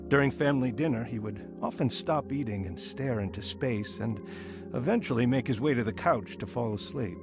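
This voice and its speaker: An actor playing a man soberly recounting his troubled childhood in measured, scripted drama narration. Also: medium pitch, gravelly, music in the background, close to an everyday voice. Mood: reflective.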